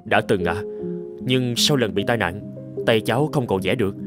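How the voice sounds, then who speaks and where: A man playing a kind, earnest young painter in a dubbed cartoon, speaking politely and gently. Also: fairly low pitch, normal speed, music in the background, close to an everyday voice. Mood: modest.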